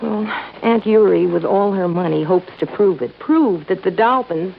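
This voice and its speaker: An actress playing an anxious, hesitant young woman in an old time radio drama, halting and earnest. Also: medium pitch, gravelly, lightly acted. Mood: worried, earnest. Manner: halting, earnest, breaking off mid-thought. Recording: dull, faintly hissy old radio recording.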